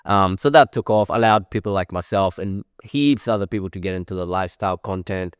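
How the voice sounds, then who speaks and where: A man's voice: sits low, fairly quick, smooth, dry recording. A man in his thirties whose first language is French, talking as an interview guest in a chatty, rambling way with many fillers. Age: 30s. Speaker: guest, creative director. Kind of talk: casual interview.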